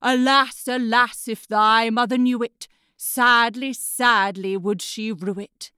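A woman voicing the mournful severed head of a horse in a fairy tale, chanting a slow, solemn rhyme. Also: on the high side, steady tone, bright, forceful tone, smooth, dry recording, studio quality.

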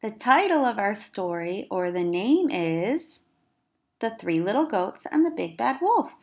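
A woman teacher reading a children's story aloud, with expressive, careful emphasis for young listeners. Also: mid-range voice, unhurried. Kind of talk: children's read-aloud narration.

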